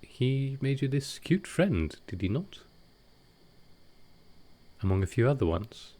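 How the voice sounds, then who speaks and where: A man playing a gentle, wistful ancient vampire in an intimate audio roleplay, soft-spoken and hesitant with halting pauses. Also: medium pitch, very animated. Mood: gently teasing.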